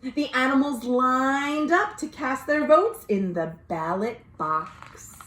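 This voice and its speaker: A woman librarian reading a story aloud to children in an expressive way, pausing to explain things simply. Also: sits high. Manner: expressive, addressing young listeners directly. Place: indoors at home. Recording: roomy, echoey home recording.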